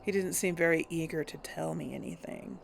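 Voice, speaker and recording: Gravelly-voiced woman musing over a game. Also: low voice, brisk, slight background noise. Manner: chatty, musing aloud with many hums. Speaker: role-play gamer. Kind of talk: casual game commentary and role-play.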